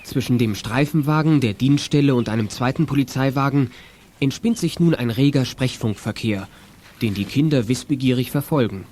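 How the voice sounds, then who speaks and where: A man narrating a children's detective radio play in a measured, articulate storytelling manner. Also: medium pitch, darkish tone, close to an everyday voice. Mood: composed narration.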